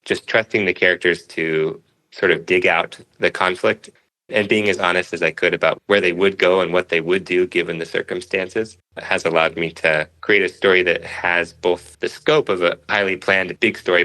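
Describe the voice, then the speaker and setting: Guy being interviewed, deep but bright voice, explains stuff thoughtfully, conversational. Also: rough recording. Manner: thoughtful, explains through analogies. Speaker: interview guest, cartoonist and author.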